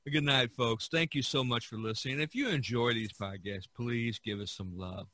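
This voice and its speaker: A man's voice: mid-range voice, moderate pace, pushed and bright, dry recording. A man hosting a podcast, chatting casually in a rambling, emphatic way. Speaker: podcast host.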